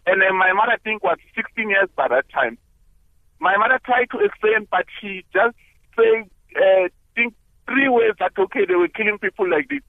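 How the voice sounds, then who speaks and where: Caller on a tinny phone line. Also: male voice, high-pitched, normal speed, no echo. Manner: hesitant, searching for words. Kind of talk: call-in radio talk.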